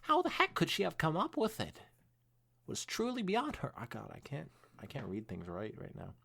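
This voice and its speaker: A man reads aloud as a podcast co-host, with big swings in pitch and a husky edge to his voice. His delivery is expressive, now and then tripping on a word, and it slips easily into casual conversation. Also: moderate pace. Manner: expressive reading, stumbling over words. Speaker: podcast co-host reading fan fiction. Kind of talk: dramatic read-aloud mixed with casual chat.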